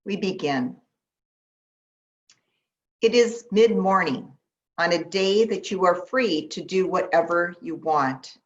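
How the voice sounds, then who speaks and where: Slow-paced woman guiding a meditation. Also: on the low side, lively intonation. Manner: unhurried, gentle instructions with long pauses. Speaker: pastor leading guided meditation. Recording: dull, lo-fi sound.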